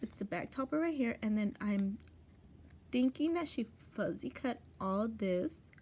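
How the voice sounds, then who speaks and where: A woman hosting a craft video, gushing and chatty over handmade tags, her voice going hoarse with coughing. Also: fairly high pitch, lively intonation, a little slow, dark tone. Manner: gushing, chatty, restarts often. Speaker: crafting channel host. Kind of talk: casual craft mail unboxing.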